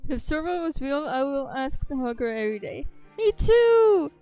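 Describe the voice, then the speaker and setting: A woman's voice: sits high, big pitch swings, moderate pace, mellow, soft background music. An actress voicing a cheerful, bubbly girlish companion who talks in a chirpy, playful way. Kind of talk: game voice acting.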